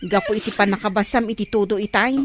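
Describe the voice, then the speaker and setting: A woman's voice: medium pitch, quick pace, slight background noise, close to an everyday voice. A woman playing a concerned, scolding older sister in an audio drama, with a Filipino accent. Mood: worried, consoling.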